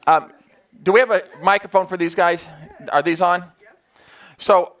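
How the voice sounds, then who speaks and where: Male meeting leader, voice a bit high, informal, joking, colloquial delivery to a group. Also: a bit bright. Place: meeting room in front of staff.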